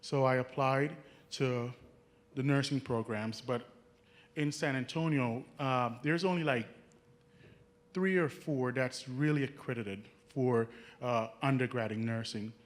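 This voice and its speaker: Jamaican man telling his story. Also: mid-range voice. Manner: earnest, halting, frequent restarts, chuckles at his own stories. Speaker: nursing student. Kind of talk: personal story talk to an audience.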